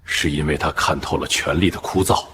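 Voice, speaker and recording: A man playing a shrewd, proud old emperor in an animated palace drama, measured and regal. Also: a bass voice. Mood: amused, fond. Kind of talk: Chinese animated web drama voice acting.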